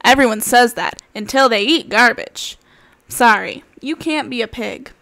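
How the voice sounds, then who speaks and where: Woman as a blunt, animated storybook parent frog. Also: on the high side, unhurried, creaky, exaggerated voice. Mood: teasing. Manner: emphatic, enumerating, firm. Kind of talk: animated children's storybook read-aloud.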